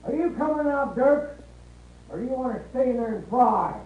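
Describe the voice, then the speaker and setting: High-pitched, scornful western posse leader, echoing. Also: male voice, lively intonation, normal speed. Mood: taunting, menacing. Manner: shouting from a distance, scornful. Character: hard, taunting leader of a western lynch posse. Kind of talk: 1950s radio western acting. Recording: echoing noisy old radio recording.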